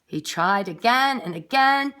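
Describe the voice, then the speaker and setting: Bright-voiced woman reading a children's storybook. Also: a little high, unhurried, clear, resonant tone. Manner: expressive, with dramatic emphasis and asides to young listeners. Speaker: nursery school story reader. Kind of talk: children's storybook read-aloud.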